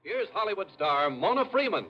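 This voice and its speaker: A male announcer delivers a vintage 1950s radio commercial. His voice is high and very animated, on a dull old recording with faint noise. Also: brisk. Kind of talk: 1950s scripted radio ad read.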